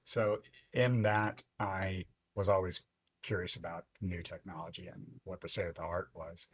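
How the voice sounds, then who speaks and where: A man in his fifties, originally from Lebanon, telling his story as a podcast guest in a reflective, unhurried way. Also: low voice, little pitch movement, breathy edge, dry recording. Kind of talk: casual interview chat.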